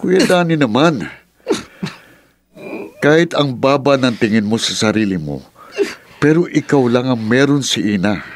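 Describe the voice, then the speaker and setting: A man's voice: medium pitch, a little hiss or hum, lightly acted. A man playing a caring uncle who earnestly advises his troubled family in a radio drama.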